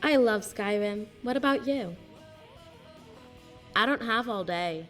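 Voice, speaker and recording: A woman's voice: medium pitch, expressive, slow, faint music behind. A woman playing a gossipy, needy young maiden companion in a video game, with a chatty, forward manner.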